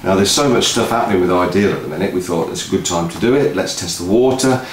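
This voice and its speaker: Low guy doing a chatty promo update, roomy office sound, bit of noise. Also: fast talker. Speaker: host of a music event promoters' video update. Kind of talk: casual two-person announcement chat. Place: indoor office room. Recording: roomy echo, noticeable background noise.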